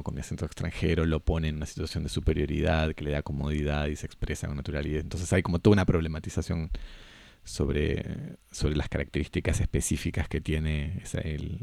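A man muses about cinema in an unhurried, halting way. His voice sits very low and has a gravelly, rough edge. The sound is close and dry, with no room around it. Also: darkish tone, no echo. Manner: thoughtful, halting, many restarts. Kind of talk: casual film discussion.

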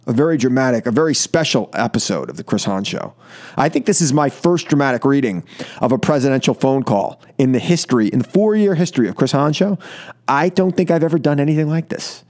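A man hosting a live political call-in radio show, animated and joking, repeating phrases for emphasis. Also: on the high side. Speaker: radio talk show host. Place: radio studio.

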